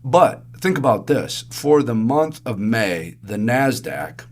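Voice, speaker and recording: A man with a raspy, allergy-hoarse voice, chatting through monthly market results on a podcast with everyday analogies. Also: medium pitch, big pitch swings, slow. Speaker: investment advisor co-host. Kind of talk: casual podcast market commentary.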